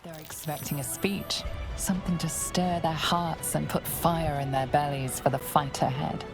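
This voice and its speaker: A solemn woman storyteller narrates a fantasy game. Her voice is low and creaky, with an even, deliberate delivery and music behind it. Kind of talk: scripted dramatic narration.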